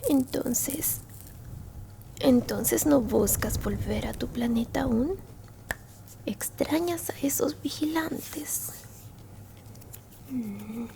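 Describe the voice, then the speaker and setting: A woman voicing a gentle, bookish, elderly alien grandmother in a whispered ASMR roleplay, soothing and tender, with a breathy, creaky voice. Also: on the high side, a little hiss or hum, exaggerated voice. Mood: gentle, wistful, then thoughtful. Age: older. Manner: slow, soothing whispering with soft murmured hums and pauses. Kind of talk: Spanish-language ASMR roleplay, mostly whispered.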